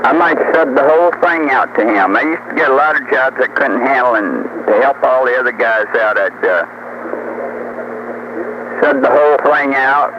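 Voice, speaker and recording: A man's voice: mid-range voice, expressive, moderate pace. An older man in a rambling, folksy, boastful chat over CB radio, often throwing out rhetorical questions. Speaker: storyteller on CB radio. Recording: noisy muffled CB radio tape.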